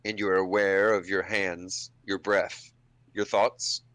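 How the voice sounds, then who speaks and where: A man with a fairly low voice plays in a tabletop roleplaying game. He speaks in character in short, measured phrases, deliberate and improvised. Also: flat delivery, a bit bright, dry recording.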